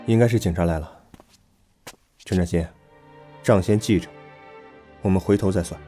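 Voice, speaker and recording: A man's voice: sits low, moderate pace, warm tone, faint music behind, close to an everyday voice. A man acting a protective, possessive young lover in a Chinese audio drama, speaking in a restrained, intimate way. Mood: stern, reproachful.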